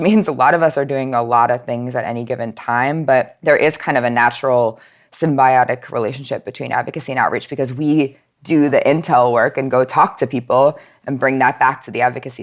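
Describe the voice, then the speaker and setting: An American woman speaking as an interview guest, explaining her nonprofit work in an informal, conversational way with many casual asides. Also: rumbling low.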